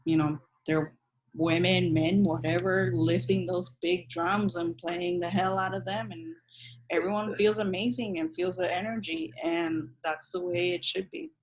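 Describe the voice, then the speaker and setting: A woman's voice: lowish voice, fairly even intonation, unhurried, rough recording. A woman speaking casually and informally as a panel guest, loosely phrasing her thoughts with filler words. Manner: informal, frequent "like" and "you know". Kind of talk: casual unscripted panel talk.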